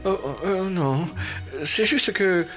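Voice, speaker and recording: A man voicing a gloomy, flustered but gentle animal doctor in a children's cartoon, hesitant and stammering. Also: high voice, lively intonation, takes it slowly, music in the background.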